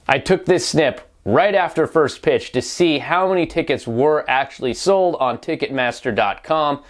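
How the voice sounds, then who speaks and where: A man delivering opinionated sports news commentary as a reporter, wry and pointed, often posing rhetorical questions. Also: mid-range voice.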